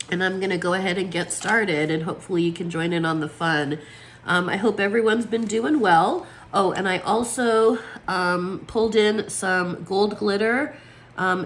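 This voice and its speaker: A woman's voice: fairly low pitch, a bit bright, a little hiss or hum. A woman with a froggy, allergy-worn voice chatting casually as she sets up her planner, with plenty of fillers. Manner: chatty, lots of fillers, talks while placing stickers. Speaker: planner vlogger. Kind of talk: casual chat while crafting.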